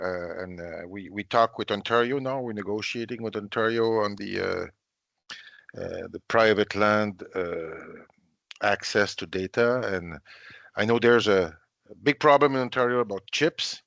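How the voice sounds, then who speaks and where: A man's voice: fairly low pitch, a little slow, creaky, a bit bright, dry recording, lo-fi sound. A man hosting a webinar, speaking hesitantly and plainly. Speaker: webinar moderator. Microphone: video call.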